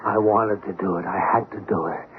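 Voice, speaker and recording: A man playing a remorseful, distraught small-time crook, halting and earnest, in a radio drama. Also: deep voice, steady tone, close to an everyday voice. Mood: anguished. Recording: dull, noisy old radio broadcast.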